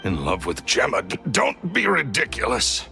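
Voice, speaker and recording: A man's voice: huge pitch swings, normal speed, creaky, sharp tone, faint music behind, heavily acted. A man voicing a middle-aged magician villain who is jealous and bitter, with a sneering, scornful manner. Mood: dismissive. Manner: scornful, self-pitying, dramatic swings in pitch. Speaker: villain confessing. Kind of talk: video game voice acting.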